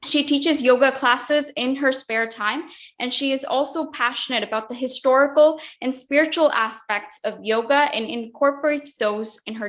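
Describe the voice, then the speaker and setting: A woman's voice: high-pitched, a bit bright. A woman hosting an interview show, reading an introduction and asking questions in a gracious, upbeat way. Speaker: host.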